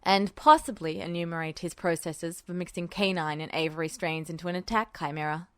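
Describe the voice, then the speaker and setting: A smug male officer who gives his orders with dry, teasing calm, as a woman puts him on by dropping her voice. The sound is smooth and clear, with a dry, close-miked feel and no room around it. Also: fairly low pitch, a little fast, no echo, pitched below the performer's own voice, lightly acted. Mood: smug, unruffled. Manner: dry, teasing, calmly commanding. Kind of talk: podfic character voice.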